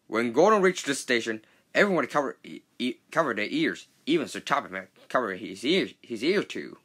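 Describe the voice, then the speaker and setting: A man narrating a children's storybook read-along in a halting, stumbling way. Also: medium pitch, big pitch swings, a bit bright, husky.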